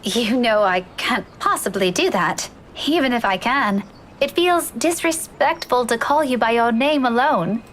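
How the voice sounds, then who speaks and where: A woman's voice: a little high, expressive, unhurried, fairly bright tone, slight background noise, voice pushed up. A woman voicing a respectful young woman, an eager former student who speaks hesitantly and earnestly in a visual novel. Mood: fond, respectful.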